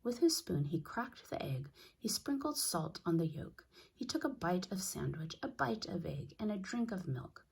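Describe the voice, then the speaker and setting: A woman reads a children's story aloud. Her voice sits a little low as she narrates. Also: sing-song, moderate pace, gravelly, slightly rough. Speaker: storybook reader.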